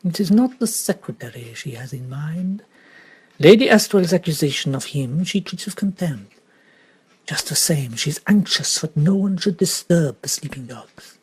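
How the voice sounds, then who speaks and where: A man's voice: a little high, animated, normal speed, breathy edge, low-quality recording. A man voicing a vain, clever little Belgian male detective, precise and theatrical, sometimes dropping to a whisper. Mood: musing.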